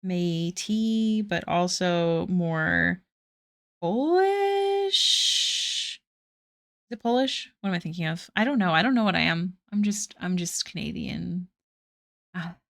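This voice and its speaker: A woman's voice: lowish voice, animated, slow pace, vocal fry, pauses often. A young woman chatting casually with viewers while drawing on a live stream, giggly and self-deprecating.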